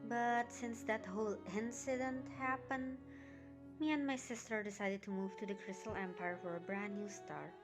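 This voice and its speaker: A woman's voice: mid-range voice, fairly even intonation, normal speed, over music, lightly acted. A woman playing a friendly, modest grown-up pony in a fan-made cartoon audio drama, polite and earnest.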